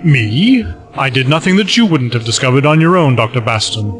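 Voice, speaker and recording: A man's voice: mid-range voice, lively intonation, brisk, faint music behind, natural voice. A man playing a sly, secretive villain who feigns humility, speaking formally in a science fiction audio drama. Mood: modest, deferential.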